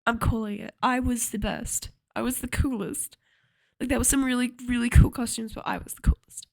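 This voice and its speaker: A young woman vlogging in a chatty, rambling way, with a slightly croaky voice and frequent laughter. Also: a little high, fairly even intonation, a little fast, no room sound, studio quality. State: a little croaky. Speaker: cosplay vlogger. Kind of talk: casual chat to camera.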